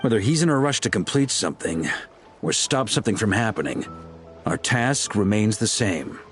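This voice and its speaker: Man playing a sly, low-voiced knight captain. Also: animated, moderate pace, soft background music, natural voice. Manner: wry, teasing, smooth. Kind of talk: game voice acting.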